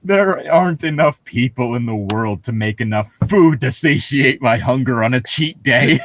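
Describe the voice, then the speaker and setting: A man's voice: medium pitch, expressive, normal speed, voice pushed down. A man voicing a ravenous, boastful half-shark hero in mock-heroic story narration.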